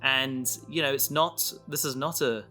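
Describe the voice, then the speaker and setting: A man chatting casually as co-host of a podcast about the audio drama he writes, thinking aloud in a halting way. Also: medium pitch, sharp tone, soft background music. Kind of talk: casual behind-the-scenes podcast chat.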